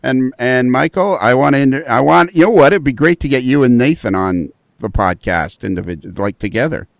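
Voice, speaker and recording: A man's voice: medium pitch, animated, fairly quick, creaky, dry recording. A man hosting a casual podcast chat, upbeat and full of repeated words as he talks. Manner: enthusiastic, repeats words as he goes. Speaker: podcast host.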